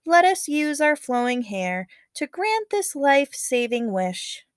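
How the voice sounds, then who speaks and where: A woman's voice: sits high, big pitch swings, measured pace, dry recording, clean studio sound, pitched above the performer's own voice. A woman voicing an earnest, wondering young island maiden in a storybook. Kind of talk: storybook read-aloud character.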